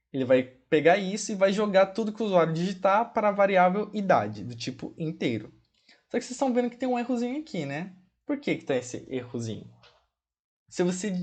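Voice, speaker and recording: A man's voice: sits high, darkish tone. Chatty Brazilian man teaching code. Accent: Brazilian Portuguese. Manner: chatty, checks in often with "ok?" and "pessoal", fond of diminutives; spells out commands slowly while typing. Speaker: programming instructor. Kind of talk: casual screencast coding tutorial.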